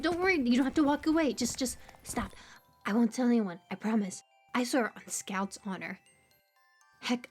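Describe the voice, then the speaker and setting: Woman acting a high-pitched kooky, chatty stranger. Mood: playful, pleading. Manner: rambling, stammering, playful, slangy banter. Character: hyperactive, chatty, kooky young female cemetery stranger, teasing. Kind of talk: audio roleplay acting, casual direct address to listener.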